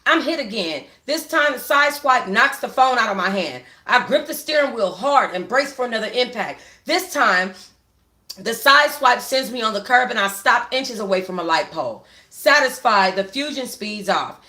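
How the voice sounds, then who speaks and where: A woman with a bright, forward voice hosts a book club livestream from home. She reads expressively and speaks in a casual, slangy, conversational way. The phone picks up a noticeably echoey room. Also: fairly high pitch, animated, normal speed. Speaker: book club livestream host reading a novel aloud. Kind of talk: expressive read-aloud with casual asides to viewers. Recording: roomy echo on a phone livestream.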